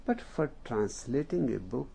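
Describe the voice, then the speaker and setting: A spiritual teacher with an Indian accent speaks very slowly, leaving long pauses between short phrases. His voice has a gravelly, fry-laden texture. He is a native Hindi speaker telling a story in a calm discourse. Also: medium pitch.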